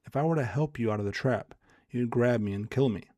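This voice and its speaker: A man's voice: medium pitch, fairly quick, a little mellow, no echo, clean studio sound, lightly acted. A man voicing an earnest, pleading young village boy in a fable. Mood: wary, questioning.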